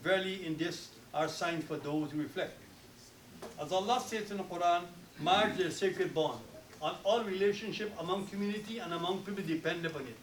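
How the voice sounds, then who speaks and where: A man from Guyana with a Guyanese accent, officiating a wedding and giving a solemn, formal sermon with rapid Arabic recitation. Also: high voice, roomy sound, recorded from a distance, low-quality recording.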